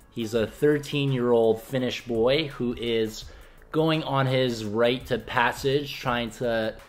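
A young man co-hosting a casual film review in a small indoor room, with faint music behind. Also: medium pitch. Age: young adult. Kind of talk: casual movie review chat.

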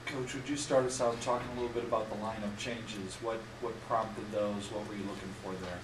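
Off-mic reporter in an echoey room. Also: male voice, fairly low pitch, fairly even intonation, brisk, far from the mic, noisy background. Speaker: sports reporter. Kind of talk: asking press conference questions.

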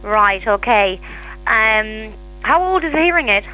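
Female Irish receptionist, crisp bright tone, slightly high, patiently explaining over the phone. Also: expressive, a little slow, lo-fi sound. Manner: patient, repeats and clarifies. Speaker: hearing aid shop receptionist. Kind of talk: customer service phone call.

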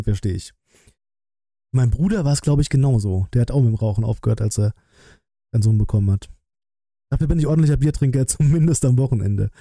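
Very dark-voiced man chatting casually. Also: medium pitch, dry recording, clean studio sound. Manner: colloquial, blunt, thinking aloud. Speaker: livestream host. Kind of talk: casual livestream chat and trailer reaction. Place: small decorated streaming room indoors. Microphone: close desk microphone, headset worn.

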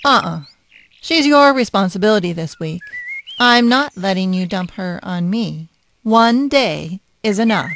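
A woman's voice: medium pitch, expressive, measured pace, fairly bright tone, a little hiss or hum. A woman playing an irritable, cutting divorced mother in an audio drama, curt and scolding. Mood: snappish. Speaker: voice actor.

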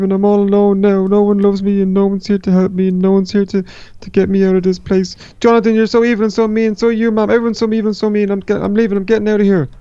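Man voicing a high-pitched, fast-talking worried boy. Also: mellow, clear, resonant tone, lo-fi sound, close to an everyday voice. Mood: sad, plaintive. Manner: plaintive, repeats phrases. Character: anxious, kind-hearted neglected young boy. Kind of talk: improvised game roleplay.

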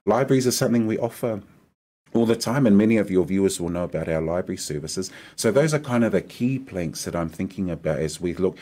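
Deep-voiced persuasive New man. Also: quick pace. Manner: earnest, persuasive, animated hand gestures. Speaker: mayoral candidate guest. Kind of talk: conversational interview. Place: small studio with decorated backdrop.

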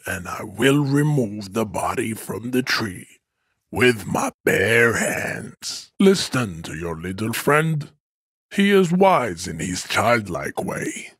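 A man's voice: fairly low pitch, fairly bright tone, husky, no echo, studio quality, full character voice. An actor playing a pompous, stoic strongman in a video game, declaiming haughty, grandiose speeches. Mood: solemn, grudging respect. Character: pompous, stoic, hulking male race-theorist strongman. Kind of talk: video game character acting.

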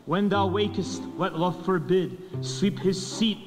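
A man's voice: sits high, measured pace. A man in his forties giving a dramatic verse reading on a stage. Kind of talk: dramatic reading of Shakespeare from paper. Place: indoor stage before an audience. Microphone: stand microphone. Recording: long hall reverb, faint music.

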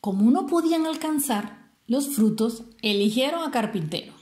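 A woman from Venezuela with a Venezuelan Spanish accent, telling a traditional tale expressively and explaining things as she goes, with deliberate pauses. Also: fairly high pitch, animated, darkish tone. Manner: didactic, explains terms with rhetorical questions and pauses. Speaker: storyteller.

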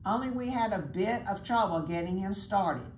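A woman voicing a polite, shy puffin in a children's storybook, speaking gently and earnestly. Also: medium pitch, animated, normal speed, reverberant, a little hiss or hum, natural voice, poor audio.

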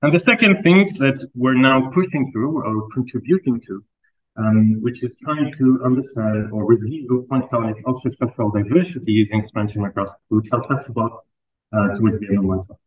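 A man's voice: mid-range voice, a little fast, highs cut off, a little hiss or hum. A man in his thirties from Palestine giving a university seminar to students and postdocs, talking loosely with fillers and restarts. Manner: conversational, with frequent fillers and restarts. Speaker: research group leader giving a seminar. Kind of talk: academic seminar talk. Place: lecture room.

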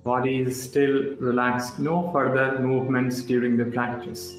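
Slow-paced man guiding relaxation. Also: mid-range voice. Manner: calm, instructional, short phrases with pauses. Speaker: yoga instructor. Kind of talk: guided relaxation meditation. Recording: roomy echo with music underneath.

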